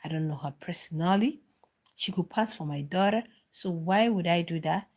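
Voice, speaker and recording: A middle-aged woman with a fairly low voice chats through a live stream. She answers viewer comments as they arrive and repeats phrases to drive her point home. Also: moderate pace, darkish tone. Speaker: reality show commentator.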